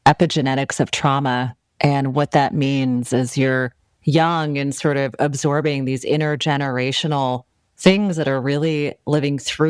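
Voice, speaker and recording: A woman hosting a podcast interview who heaps praise on her guest and asks slow, emphatic questions. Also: deep voice, clear, resonant tone, no room sound, clean studio sound. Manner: gushing, warm compliments, slow emphatic questions. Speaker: podcast host.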